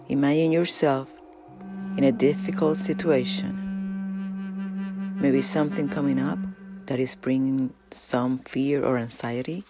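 A meditation guide speaks at a very unhurried pace, with gentle, deliberate instructions. Loud flute music plays throughout behind the voice. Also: medium pitch. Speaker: meditation guide, channel host.

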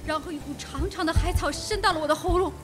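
A woman's voice: very high voice, fast, over music. An actress playing a frightened young woman secretary in a radio drama. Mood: panicked.

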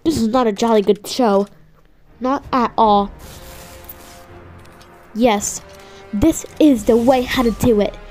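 Female performer as obsessed, irritable stick-figure inventor, comedic fan dub; slow muttering, swearing, mellow tone. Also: fairly high pitch. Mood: excited, muttering. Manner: muttering, profane. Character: frustrated, obsessive cartoon stick-figure inventor desperate for revenge. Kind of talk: improvised comedic fan voiceover of an animation. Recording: music playing behind the voice. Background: background music.

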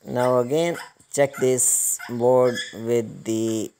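A man giving step-by-step hands-on repair instructions in a halting, repetitive way. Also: medium pitch, steady tone, mellow, slight background noise. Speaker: repair tutorial presenter.

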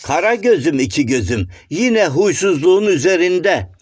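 A man voicing a polite, chatty, eager gentleman in a children's cartoon, courteous and coaxing. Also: a little high, big pitch swings, moderate pace, slightly rough, dry recording, studio quality. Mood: fawning, surprised. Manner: courteous, coaxing, sing-song explaining. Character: polite, chatty, eager, well-mannered male shadow-puppet gentleman.

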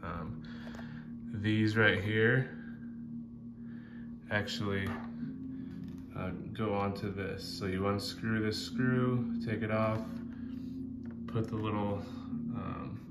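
Southern American man, low voice, relaxed how-to talk, lots of ums, phone-recorded in a workshop, loud guitar music. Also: slow pace, pauses often. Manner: relaxed, many ums and uhs. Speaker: fishing channel host. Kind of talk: casual how-to walkthrough. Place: indoor workshop bench.

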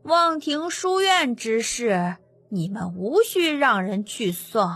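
A woman plays a little boy emperor in an audiobook, babyish and high. He speaks very slowly and knowingly, sly and sure of himself, close and dry over faint music. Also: sing-song, a bit bright, husky, no echo, heavily acted. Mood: sly, self-assured. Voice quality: childish, babyish voice.

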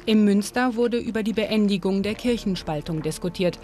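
A woman reading calm, measured scripted narration for a history documentary. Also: medium pitch. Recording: studio voice-over with music behind.

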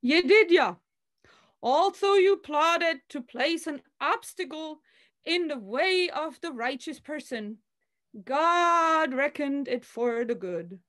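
Woman playing a high-voiced, slow, solemn rabbi. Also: lively intonation, a bit bright, heavily acted. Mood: solemn, forgiving. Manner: deliberate, declamatory. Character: solemn rabbi pronouncing a forgiving judgment. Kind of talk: amateur staged play reading. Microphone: video call.

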